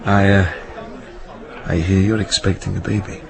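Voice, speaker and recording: An actor playing a charming, daring Soviet gentleman who is secretly a spy in a radio drama, courteous and theatrical. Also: deep voice, flat delivery, normal speed, creaky, a crowd in the background. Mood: courteous, sly.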